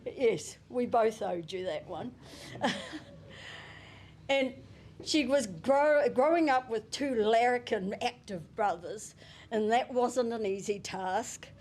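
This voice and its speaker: A New Zealand woman speaking at a memorial service and reading her tribute at an unhurried pace. Her voice sits a little high, and she chuckles softly now and then. A faint hiss runs under the microphone. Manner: gentle and unhurried, with soft chuckles. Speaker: family member giving a eulogy. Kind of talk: reading a prepared tribute and poem. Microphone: handheld microphone.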